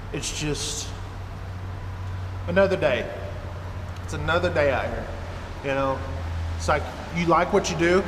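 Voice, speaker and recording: An American man in his thirties, a trucker talking casually to camera in a rambling, folksy way. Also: a little high. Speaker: trucker and video blogger. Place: inside an empty truck trailer, door open. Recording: echoey metal trailer, clear background noise.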